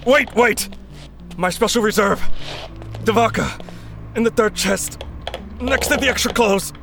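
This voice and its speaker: An actor playing a wounded rebel agent, dry and blunt with a gravelly voice, in a sci-fi audio drama. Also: mid-range voice, measured pace, music in the background, natural voice. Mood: pained, frantic.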